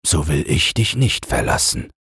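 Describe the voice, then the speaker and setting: A man voicing a calm, protective king in a fairy tale, measured and grave. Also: very deep voice, gravelly, husky, dry recording, clean studio sound, exaggerated voice. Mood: calm authority.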